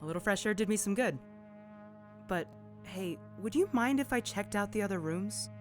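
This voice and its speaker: A woman's voice: medium pitch, normal speed, lightly acted. An actress playing a self-possessed private investigator in her late twenties, casual and questioning, in an audio drama. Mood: polite, persistent. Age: young adult. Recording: music behind the voice.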